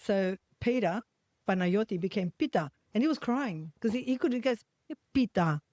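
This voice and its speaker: A middle-aged Australian woman hosting a podcast, telling family stories in a chatty, animated way and acting out quoted voices. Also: mid-range voice, moderate pace, dry recording, clean studio sound. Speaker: podcast host. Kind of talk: casual storytelling chat.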